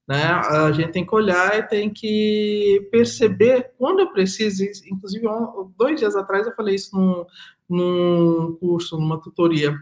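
A middle-aged woman speaks thoughtfully on a webcam from a room at home. She leans hard on key phrases and repeats them for emphasis. Her pitch sits in an ordinary middle range. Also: measured pace. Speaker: artist. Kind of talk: panel discussion over video call. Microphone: video call webcam.

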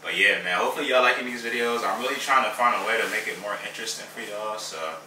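A young man talks in a relaxed, slangy way to his vlog viewers, his lowish voice edged with brightness. The camera sits a little away from him. The room around him rings. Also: fast talker, distant mic. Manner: laid-back, chatty, slangy. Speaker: fitness vlogger. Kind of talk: casual vlog chat. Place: home kitchen and outdoor field. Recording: roomy sound from off mic.

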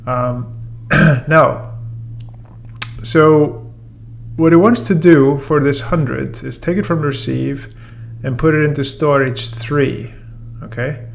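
A man in his thirties giving a relaxed software tutorial, talking through the steps with plenty of fillers. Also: fairly high pitch, slow pace, creaky. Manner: relaxed and explanatory, with many fillers. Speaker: software trainer. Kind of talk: casual screen-recorded software tutorial. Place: room with a brick wall and whiteboard, then voice-over on screen recording.

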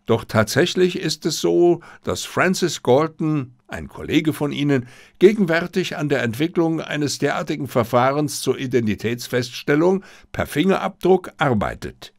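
Audiobook detective played by a male narrator: confident, unruffled, playful, lively pitch swings, gravelly edge. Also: normal speed. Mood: knowing. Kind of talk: audiobook character dialogue.

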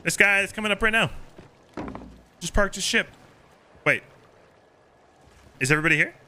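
Animated man streaming with a playful, high-pitched delivery. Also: normal speed. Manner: animated, playful, theatrical storytelling. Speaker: game streamer and host. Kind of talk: casual live-stream chat while gaming. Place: small home streaming room. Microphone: close streaming microphone.

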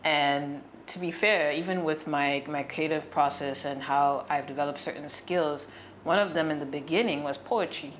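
A young woman with a Toronto accent, chatting as a guest in a relaxed, storytelling way in a small indoor room. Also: deep voice, normal speed, a little hiss or hum. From Canada. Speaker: guest, singer. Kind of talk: casual interview conversation.

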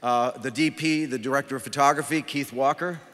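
A man in his sixties giving an informal, somewhat halting acceptance speech at a podium microphone. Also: medium pitch, fairly bright tone. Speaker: award recipient, filmmaker. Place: large awards hall stage, indoors. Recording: reverberant hall with audience sound. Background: audience.

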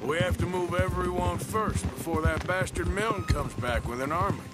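A man's voice: high voice, moderate pace, loud music behind the voice, lightly acted. An actor playing a tough, weary outlaw in his thirties in a western game, with an American accent and a plain, folksy way of talking. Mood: urging, reassuring. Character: tough, weary male outlaw, gruffly steady.

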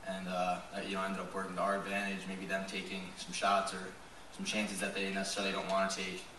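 Low, flat young man, fast, echoey. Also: background noise. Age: young adult. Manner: quick and flat, hedges with "kind of". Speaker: college lacrosse player. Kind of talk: postgame press conference answers. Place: press conference room. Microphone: table mic, recorded from a far camera. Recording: roomy echo from far off.

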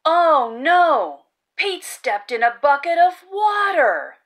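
A woman with a bright, high voice reads a children's picture book aloud. Her delivery is expressive and exaggerated, swooping widely in pitch and stretching key words for young listeners. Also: slow. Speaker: children's story reader, host. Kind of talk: animated children's read-aloud.

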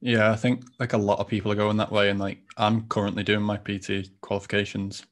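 Uk lad hosting a podcast from home on a headset, really deep and pretty flat, lots of likes and ums. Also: male voice, fairly quick. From United Kingdom. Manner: relaxed, filler-heavy, says "like" a lot. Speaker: podcast host. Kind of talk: casual video-call chat. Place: home room indoors.

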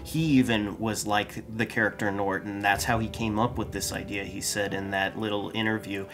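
A man's voice: fairly low pitch, little pitch movement, moderate pace, over music. A man in his thirties co-hosting a comic book podcast, talking animatedly and often restarting his sentences. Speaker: podcast co-host. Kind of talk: casual comic book discussion. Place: small room full of collectibles. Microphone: studio mic on desk stand.

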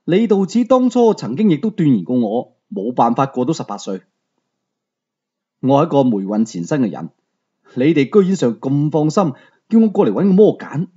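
A man's voice: on the high side, very animated, normal speed, dark tone, breathy edge, highs cut off. A man with a Hong Kong Cantonese accent narrating a story dramatically in the first person, in a conversational, colloquial way. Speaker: audiobook storyteller.

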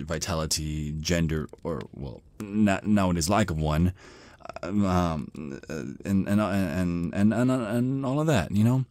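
Man voicing a shy male vampire, ASMR roleplay, deep pitch, nervous stammer, apologetic rambling, dry recording. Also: a little mellow, no echo. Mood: nervous, awkward. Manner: stammering, hesitant, flustered, rambling. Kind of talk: ASMR roleplay monologue, conversational.

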